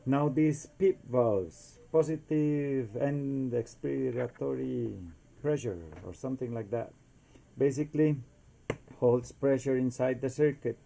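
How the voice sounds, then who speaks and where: Halting Costa Rican man, slightly creaky voice. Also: medium pitch, mellow, slight background noise. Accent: Costa Rican Spanish. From Costa Rica. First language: Spanish. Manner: halting, searching for words, with Spanish filler words. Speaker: amateur inventor demonstrating a homemade device. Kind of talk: informal explainer video.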